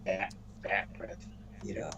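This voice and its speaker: Halting man in a muffled, noisy recording. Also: deep voice, vocal fry, sharp tone. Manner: halting, repeats words and restarts phrases. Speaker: co-host of a wrestling podcast. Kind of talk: casual wrestling storyline banter.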